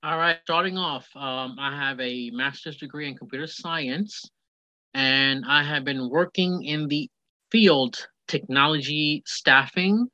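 A man's voice: on the high side, a little slow, bright, forceful tone, dry recording. Chatty man in his forties on a muffled phone line. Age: 40s. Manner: chatty, rambling storyteller. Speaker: guest. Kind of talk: casual phone interview.

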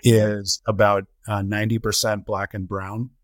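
A middle-aged man reflects on his life as an interview guest. His voice sits on the low side, and he builds his account phrase by phrase in a measured way. The sound is very dry, with no room around it. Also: flat delivery, dry recording. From United States (Colorado) and Trinidad. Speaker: interview guest, nonprofit chief executive. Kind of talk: reflective personal storytelling in an interview.

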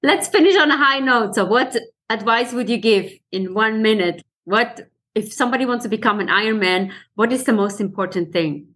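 A woman's voice: a little high, moderate pace, hardly any pauses, a bit bright. A woman from Switzerland hosting a podcast, asking her guest short, direct questions. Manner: brief prompts and questions. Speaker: podcast host. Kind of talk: interviewing.

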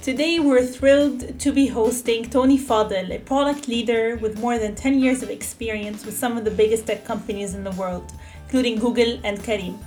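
A woman's voice: a little high, steady tone, normal speed, reverberant, over music. A woman hosting an interview podcast, reading a polished introduction and then asking questions conversationally. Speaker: podcast host.

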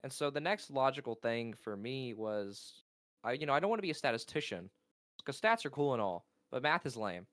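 A young man from Georgia, a college esports player and caster, talking in a casual interview with a naturally loud voice, rambling excitedly and losing his thread. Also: medium pitch, brisk, no room sound, studio quality. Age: young adult.

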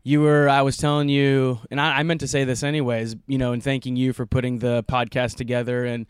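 A man's voice: mid-range voice, level tone, fairly quick, clean tone, dry recording, clean studio sound. A man speaking as a podcast guest in casual chat, earnest and often restarting his sentences. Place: camper trailer.

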